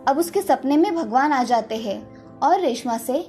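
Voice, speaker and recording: High-pitched woman narrating a fairy tale. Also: animated, moderate pace, warm tone, faint music behind. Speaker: storyteller. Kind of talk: scripted story narration.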